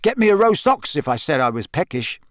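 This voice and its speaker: A man voicing a cheeky, boisterous teenage prankster boy, loud and high above his own voice in a storybook reading. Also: sing-song, brisk, no room sound, clean studio sound. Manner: boisterous, teasing.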